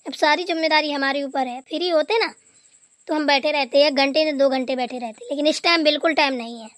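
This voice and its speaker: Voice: high-pitched, brisk, a bit bright, no room sound, studio quality. A performer voicing a dutiful, overworked village daughter-in-law in a Hindi cartoon, chatty and deferential. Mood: apologetic. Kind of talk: Hindi family cartoon dialogue.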